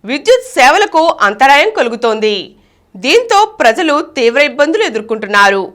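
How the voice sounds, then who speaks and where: A woman's voice: sits high, big pitch swings, fairly quick, a bit bright. A woman reading scripted news items as a news reader.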